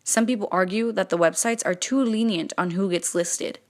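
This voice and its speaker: A woman's voice: medium pitch, normal speed. Woman presenting in scripted narration.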